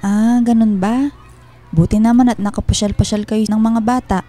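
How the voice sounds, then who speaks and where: A woman's voice: fairly high pitch, steady tone, normal speed, dark tone, natural voice. An actress playing a homesick, uneasy wife and mother in a radio drama. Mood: guarded, suspicious. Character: worried, homesick wife and mother working abroad, quietly suspicious. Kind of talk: Filipino radio drama acting. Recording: music behind the voice.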